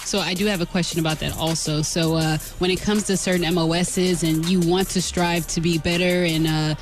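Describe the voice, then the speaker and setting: A man's voice: fairly high pitch, flat delivery, brisk. An American man hosting a morning radio show in a casual, chatty style. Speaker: radio DJ and host. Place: radio studio. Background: music.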